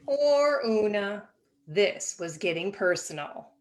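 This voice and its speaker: A woman reading a children's picture book aloud in an expressive, animated way with dramatic pauses. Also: medium pitch. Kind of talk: expressive children's storybook narration.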